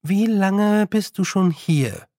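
A man performs a young girl for an audiobook. She is determined and careful, speaking with dry insistence. The pitch is lifted and the tone a little husky, in a very dry recording. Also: lively intonation, unhurried, no echo, exaggerated voice. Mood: guarded. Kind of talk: audiobook character voice.

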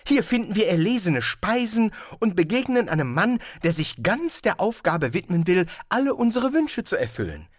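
A man's voice: high-pitched, very animated, moderate pace, hardly any pauses, no room sound, studio quality, pitched above the performer's own voice. A man voicing an earnest, naive tourist in an audiobook. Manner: eager, plaintive. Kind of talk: audiobook character voice.

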